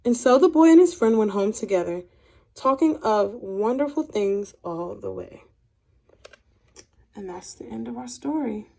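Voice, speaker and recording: Expressive woman teacher reading to children. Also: a little high, a little slow. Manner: expressive, clearly addressed to young pupils. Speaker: teacher reading a picture book aloud to children. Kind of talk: children's storybook read-aloud.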